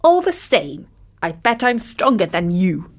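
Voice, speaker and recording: A woman's voice: fairly high pitch, big pitch swings, takes it slowly, pitched above the performer's own voice. A woman voicing a small, sleepy, boastful tortoise in an animal fable, taunting and unbothered.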